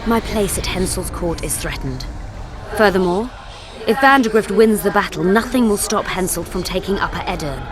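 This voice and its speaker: A woman's voice: medium pitch, lively intonation, normal speed, lightly acted. Woman acting a proud, defiant sorceress. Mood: cool resolve. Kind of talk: dramatic video game acting.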